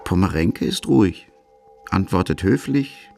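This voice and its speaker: Man, scripted documentary narration, fry-edged low voice, music underneath. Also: lively intonation, measured pace, dark tone.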